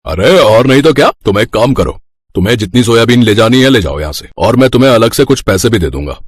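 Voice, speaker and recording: Man acting a kindly, gruff grain merchant. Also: medium pitch, animated, fast talker, dry recording. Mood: warmly impressed. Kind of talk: acted dialogue in a children's moral story.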